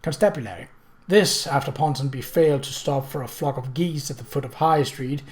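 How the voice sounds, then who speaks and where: A drily humorous gentleman of a period novel, read by a man. He is genial, ironic and fond of long, polite phrases. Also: mid-range voice, brisk, close to an everyday voice. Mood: dry humour. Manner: wordy, ironic.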